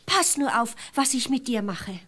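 A simple-minded country wife from a fairy-tale radio play. Her voice is high and swings widely in pitch. She is artless, chatty and forever justifying herself. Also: animated, moderate pace, sharp tone, husky, heavily acted. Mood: pitying. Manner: artless, exclamatory, self-justifying. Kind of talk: German fairy-tale audio play acting.

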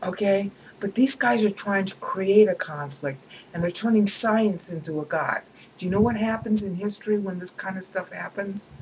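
A woman's voice: mid-range voice, normal speed, darkish tone, lo-fi sound, a little hiss or hum. A woman reading a book aloud at her computer and breaking off into emphatic, scornful commentary. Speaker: reader and commentator.

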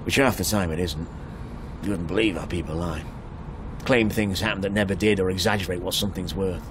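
A man's voice: sits low, brisk, lightly acted. A man acting a chatty, probing motorist in a naturalistic radio drama, speaking hesitantly over car noise. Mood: wry, talkative. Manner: hesitant, probing small talk. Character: chatty, probing male motorist, insurance assessor. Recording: clear vehicle noise around the voice. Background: car engine and road ambience.

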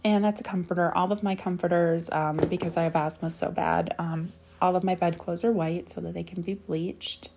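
Low-voiced chatty woman vlogger. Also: steady tone, normal speed, vocal fry, few pauses, a little mellow, a little hiss or hum. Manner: rambling, chatty, many ums. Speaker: cleaning vlogger. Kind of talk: casual voice-over narration. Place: home bedroom.

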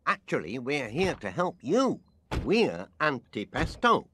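A man voicing a genial, bumbling middle-aged inventor with a Northern English accent in an animated game. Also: mid-range voice, unhurried, a little hiss or hum. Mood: cheerful. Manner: eager, genial, exclamatory. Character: cheery, bumbling middle-aged male inventor and pest controller. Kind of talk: animated game cutscene acting.

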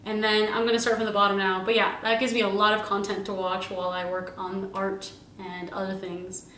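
A woman's voice: medium pitch, flat delivery, fairly quick, a bit bright, a little hiss or hum. A young woman in her twenties chatting casually through a grocery haul at home, bubbly and giggly, rambling with lots of fillers. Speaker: vlogger. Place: home kitchen, indoors. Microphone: camera a few meters away. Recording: roomy kitchen sound, camera at a distance.

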